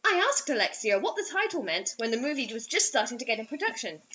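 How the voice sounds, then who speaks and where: A woman's voice: fairly high pitch, big pitch swings, fast, bright, forceful tone, highs cut off. A woman giving a comedic, mock-dramatic reading of a story, breaking off for sarcastic banter as a co-host.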